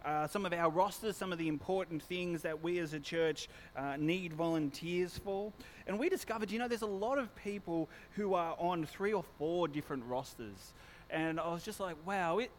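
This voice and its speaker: A man with a loud voice, a pastor giving church notices and a sermon in a chatty, self-mocking way, joking with the congregation. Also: a little high, a little hiss or hum. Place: church hall. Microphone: handheld or stand microphone.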